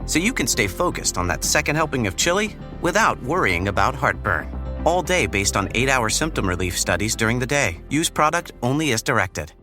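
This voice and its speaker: A man's voice: medium pitch, sing-song, fairly quick, sharp tone. A man voicing a polished, playful scripted commercial over a music bed. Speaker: commercial announcer.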